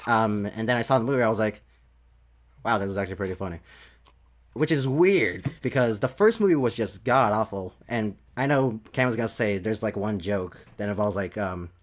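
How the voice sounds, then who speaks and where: A man with a fairly low voice talks casually, as on a movie podcast. His delivery is halting, and he often doubles back to rephrase what he is saying. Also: expressive.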